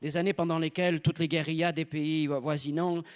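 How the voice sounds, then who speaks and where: A man interpreting a sermon live, phrase by phrase, in a halting way, rephrasing as he goes. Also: a little high, little pitch movement, smooth.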